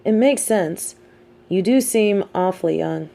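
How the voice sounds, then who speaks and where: A woman playing a sardonic, scheming supervillain in a scripted audio roleplay, with a theatrical, measured, wry delivery and grudging sympathy. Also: medium pitch, creaky, dark tone. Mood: probing, intrigued. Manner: theatrical, measured, wry, drops into muttered asides.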